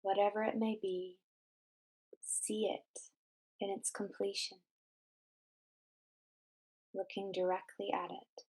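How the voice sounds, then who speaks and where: A woman narrating a scripted guided meditation in a measured, deliberate, instructional way. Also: medium pitch, level tone, a little mellow, husky, low-quality recording.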